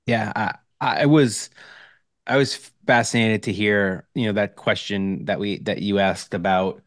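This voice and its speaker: A man's voice: fairly low pitch, steady tone, a little slow, dry recording, clean studio sound. A man co-hosting a casual sports podcast, rambling a little as he thinks aloud. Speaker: podcast co-host.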